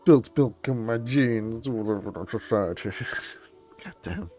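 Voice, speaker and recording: A man's voice: lowish voice, unhurried, mellow. A man giving casual, rambling, laid-back live commentary as he streams a game. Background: faint game music.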